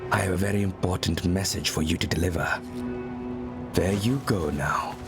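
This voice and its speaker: An actor playing a weary but honourable and defiant man in a fantasy game, grave, deliberate and courteous. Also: sits low, lightly acted. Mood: tender. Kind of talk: dramatic fantasy game acting. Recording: loud music under the voice.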